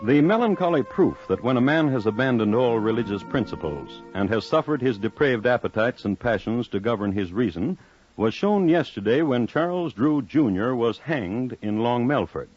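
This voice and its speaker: An actor playing a pompous, genteel crime historian, narrating in a measured, formal 1950s radio style. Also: male voice, medium pitch, lively intonation, a little mellow, soft background music, close to an everyday voice. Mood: grave, reciting. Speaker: host-narrator. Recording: dry, muffled old radio recording.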